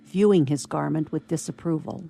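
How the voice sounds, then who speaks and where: A woman's voice: deep voice, normal speed, vocal fry, mellow, no room sound. A woman reading scripted narration as the narrator of a radio play.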